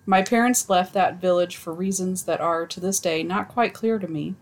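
A woman reading stories aloud in a casual chat, with wry deadpan asides and some swearing. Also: lowish voice, normal speed.

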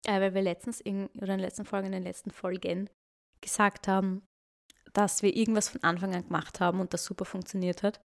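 A woman's voice: mid-range voice, flat delivery, fast talker. A young adult woman with an Austrian accent, chatting casually and colloquially as a podcast co-host.

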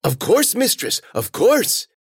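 A man's voice: high voice, huge pitch swings, takes it slowly, husky, no room sound, clean studio sound, pitched above the performer's own voice, heavily acted. A man voicing a servile, anxious helper in a storybook, eager and fawning, repeating himself. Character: servile, anxious male plant-breeding helper of old mistress.

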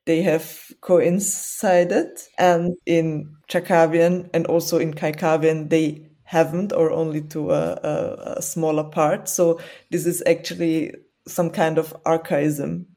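A woman's voice: sits low, little pitch movement, takes it slowly, gravelly, hardly any pauses, dark tone. Laughing woman explaining grammar. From southern Burgenland, Austria. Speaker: interviewee. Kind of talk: casual interview.

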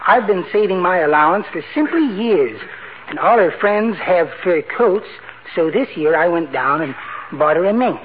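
A man's voice: high voice, expressive, moderate pace, crowd noise. An actor playing a timid, sweet, henpecked little married man with prissy, quaint manners in a 1940s radio comedy. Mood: mild, pleased. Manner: meek, prissy, quaint exclamations. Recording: noisy, narrow-band 1940s radio recording.

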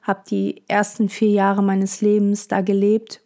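Young portugal-born woman, podcast host, slow dreamy chat, lots of ähms. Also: mid-range voice, monotone. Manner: reflective, gentle, with many fillers. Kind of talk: casual personal monologue.

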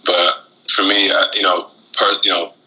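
A man from Florida chatting casually as an interview guest, halting and reflective as he talks. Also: low-pitched, level tone, sharp tone, poor audio. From Florida, United States, born in Guyana. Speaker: interview guest, rapper and shoe designer.

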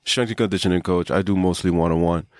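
A man's voice: deep voice, steady tone, fast talker, dry recording. A man from Trinidad, a fitness coach and podcast guest, telling his own story in a casual, reflective, conversational way.

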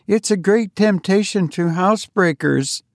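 A man's voice: high voice, measured pace, dry recording, clean studio sound, pitched above the performer's own voice. A man doing a timid, frightened woman. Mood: anxious. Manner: fearful, faint, pleading.

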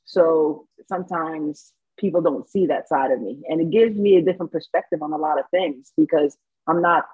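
Woman coach, New York accent with a Caribbean lilt, chatty, slightly husky, dry dull sound. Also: deep voice, moderate pace, no echo. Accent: New York with a light Caribbean lilt. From Saint Lucia. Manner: chatty, laughs often, many restarts and fillers. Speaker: basketball coach. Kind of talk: casual interview answers.

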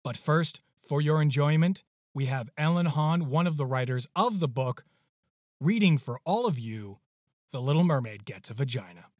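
Male podcast host, emphatic and excited, somewhat high-pitched, close dry sound. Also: moderate pace, no echo. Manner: effusive, emphatic with repeated words. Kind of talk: enthusiastic podcast introduction.

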